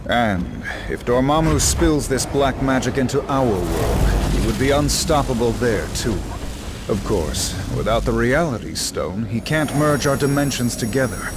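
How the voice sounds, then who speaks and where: Guy playing a confident wizard hero in a game cutscene, unhurried, very expressive pitch, noisy effects behind. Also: lightly acted. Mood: grave, explanatory. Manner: theatrical, measured, expository. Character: grave, self-assured sorcerer hero, a grown man. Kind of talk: video game cutscene acting.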